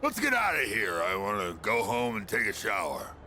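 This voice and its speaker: An actor playing a gruff, lonely, middle-aged drunken ex-businessman in a video game, with a blustering, slurred drawl. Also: lowish voice, huge pitch swings, normal speed, sharp tone, slight background noise, full character voice. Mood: weary, regretful. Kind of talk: English video game voice acting.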